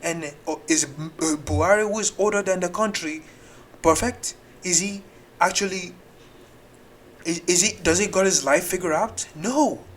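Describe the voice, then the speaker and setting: Exasperated man, rather high voice. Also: unhurried, a bit bright, slight background noise. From Nigeria. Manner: exasperated, rambling, argumentative. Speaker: reaction video host. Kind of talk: casual reaction commentary.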